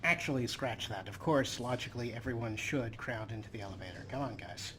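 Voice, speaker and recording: A man's voice: mid-range voice, a little fast. A man giving casual let's play commentary, muttering to himself as he plays. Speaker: gaming video host. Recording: clear background noise under voice.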